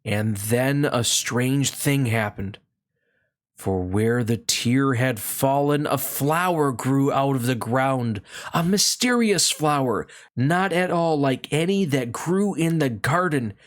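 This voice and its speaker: A woman narrates a children's story, reading from a book. Her voice is extremely low for a woman. She takes the text slowly, as a read-aloud storyteller. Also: animated.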